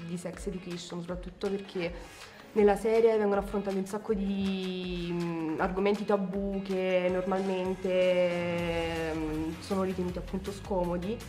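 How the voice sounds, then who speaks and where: A woman's voice: on the low side, flat delivery, moderate pace, warm tone, faint music behind. A young woman giving an unscripted interview answer. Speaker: student comic creator.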